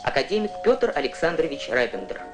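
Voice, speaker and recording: Animated narrator for a kids science newsreel, storytelling style, loud music behind. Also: medium pitch, fairly quick. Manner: storytelling, addresses young viewers with questions. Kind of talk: scripted educational documentary narration. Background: prominent music.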